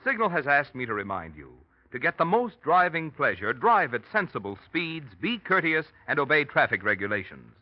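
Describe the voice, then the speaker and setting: A man's voice: a little high, big pitch swings, normal speed, hardly any pauses, no echo. A man announcing in formal, emphatic 1940s radio style from a script. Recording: dull vintage radio recording.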